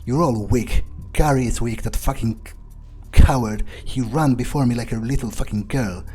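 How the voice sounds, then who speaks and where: Man playing a dark-voiced, menacing vampire. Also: medium pitch, breathy edge, soft background music, close to an everyday voice. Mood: taunting menace. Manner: halting, self-correcting, swearing, taunting. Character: menacing, foul-mouthed male vampire, cocky and vindictive. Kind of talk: in-character video game roleplay playthrough.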